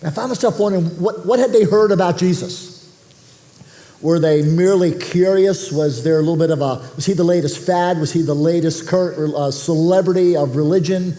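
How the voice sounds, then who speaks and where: A man preaching a sermon as pastor, nasal from a head cold, talking in a conversational way with restarts and throat clearing. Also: on the high side, brisk, mellow. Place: church auditorium.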